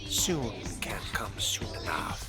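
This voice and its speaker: Slow, theatrical man in character. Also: on the low side, very animated, over loud music. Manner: theatrical, suave, deliberate. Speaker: gamer role-playing a vampire. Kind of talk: in-character game roleplay commentary.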